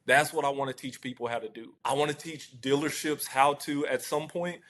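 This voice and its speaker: Thoughtful mid-pitched man answering questions. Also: fairly even intonation, moderate pace, fairly bright tone. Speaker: interview guest, car dealership internet director. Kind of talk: conversational interview answers.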